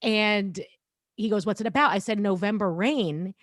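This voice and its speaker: A woman's voice: mid-range voice, moderate pace, no echo, clean studio sound. A woman in her thirties, co-hosting a podcast, telling stories in a chatty way full of fillers. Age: 30s. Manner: chatty, storytelling with many likes and ums. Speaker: podcast co-host. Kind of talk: casual podcast chat.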